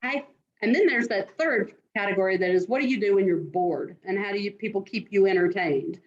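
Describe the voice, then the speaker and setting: A woman's voice: mid-range voice, fairly quick, dull audio. A middle-aged woman admissions dean answering interview questions in an earnest, direct way, giving students advice. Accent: non-New York American.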